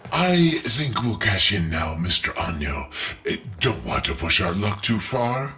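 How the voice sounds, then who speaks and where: An actor playing a sly, principled rebel leader in a video game, wry and pointed in manner. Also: male voice, fairly low pitch, sing-song, measured pace, background noise. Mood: wry, taunting. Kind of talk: video game character acting.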